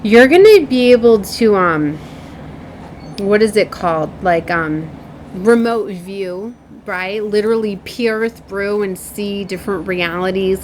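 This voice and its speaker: A woman's voice: mid-range voice, darkish tone. Effusive woman card reader, noisy recording. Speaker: tarot and oracle card reader. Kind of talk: informal spiritual card reading, partly read aloud. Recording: clearly noisy background.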